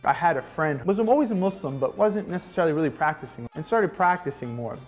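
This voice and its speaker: A twenty-two-year-old man from New Jersey explaining things in a relaxed interview. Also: fairly high pitch, fast talker, soft background music. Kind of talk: casual interview.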